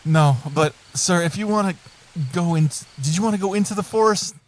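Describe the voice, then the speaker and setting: A man's voice: sits high, brisk, over music, close to an everyday voice. A man playing a patient magical tree that guards a forest, talking casually and hesitantly in improvised audio comedy. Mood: patient, puzzled. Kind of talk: improvised comic audio drama. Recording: background noise with chirping crickets.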